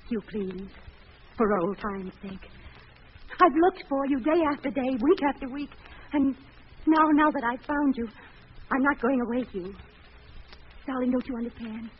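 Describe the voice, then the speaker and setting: A woman playing an earnest, imploring sweetheart with halting speech in a 1940s radio melodrama. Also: high voice. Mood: pleading. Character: earnest, pleading young woman devoted to a lost love. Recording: dull, hissy old radio recording.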